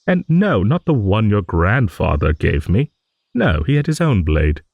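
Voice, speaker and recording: A man's voice: fairly low pitch, very animated, measured pace, creaky, dry recording, phone-quality sound, full character voice. A man voicing a rambling old dragon, genial, wistful and a little vain, in an improvised fantasy audio monologue. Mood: fond reminiscing. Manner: rambling, musing, with hums and soft chuckles.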